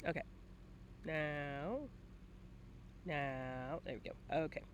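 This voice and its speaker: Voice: medium pitch, steady tone, slow pace, a little hiss or hum. A host chatting casually on a livestream, with a playful, teasing, sweary manner. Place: indoors at a computer setup, live online stream.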